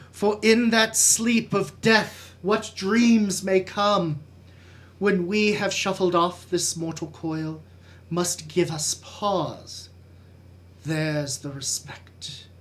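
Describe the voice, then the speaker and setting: Someone reading as a tragic young prince, brooding n anguished, super slow dramatic shakespeare soliloquy, halting. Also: medium pitch. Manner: dramatic, halting, swelling and fading.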